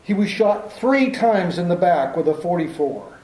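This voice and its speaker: An actor playing a tough police lieutenant in an old-time radio drama, with a gruff, needling, accusing manner. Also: male voice, sits high, animated, normal speed, darkish tone, roomy sound, poor audio. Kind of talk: 1940s radio drama acting.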